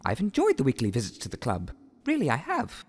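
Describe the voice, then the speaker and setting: A man voicing a polite, conflicted young man in a cartoon parody, speaking formally and haltingly. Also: mid-range voice, wildly swooping pitch, a little mellow, husky. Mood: apologetic.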